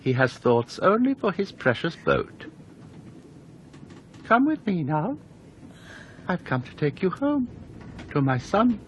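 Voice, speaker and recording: Possessive male phantom, radio play villain, unhurried, wildly varied pitch, gloating, faint ambient noise. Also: big pitch swings, lo-fi sound, heavily acted. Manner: slow, gloating, theatrical. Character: possessive, sinister male ghost claiming his bride.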